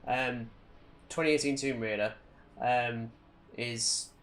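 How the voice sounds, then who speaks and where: A man speaks as a podcast co-host in a casual, conversational style. His voice sits at a medium pitch, and he gives his opinions bluntly. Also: fairly even intonation, takes it slowly, a bit bright. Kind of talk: casual film and game chat.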